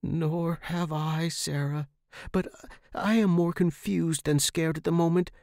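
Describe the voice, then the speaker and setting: Level-headed young heroine's friend, higher-pitched, earnest and decisive, audio horror fiction played by a man. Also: steady tone, unhurried, gravelly, darkish tone, dry recording, clean studio sound. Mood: confused. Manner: earnest, instructing.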